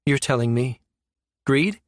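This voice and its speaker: A man voicing a brisk, sardonic, exasperated woman space operative with informal, slangy speech in a science fiction audiobook. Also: mid-range voice, no echo, studio quality, lightly acted. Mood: wry.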